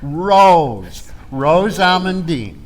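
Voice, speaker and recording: A man's voice: fairly high pitch, sing-song, very slow, very noisy. A man hosting a storytelling event, introducing the performers. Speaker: event host.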